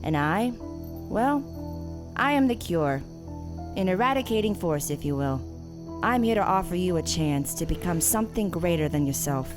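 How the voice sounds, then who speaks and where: A woman's voice: fairly low pitch, animated, normal speed, over music, natural voice. A woman playing a self-righteous, menacing killer gardener in an audio drama, theatrical and condescending as she taunts her captive. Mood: cold condescension. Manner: theatrical, condescending, swinging from soft to shouting. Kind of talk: audio drama villain monologue.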